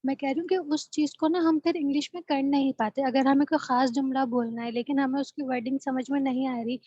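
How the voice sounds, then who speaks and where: Earnest high-voiced female student, lo-fi. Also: fairly even intonation, fairly quick, dark tone, no echo. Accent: Pakistani Urdu-speaking. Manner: earnest, apologetic, talks at length about her struggles. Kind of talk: casual conversation with tutor.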